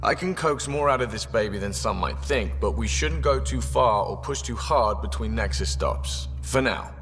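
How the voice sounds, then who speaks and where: An actor playing a laid-back, wry starship engineer in a video game, talking casually in clipped phrases. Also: male voice, medium pitch, moderate pace, fairly bright tone, lightly acted. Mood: easygoing, candid. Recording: long hall reverb with faint music.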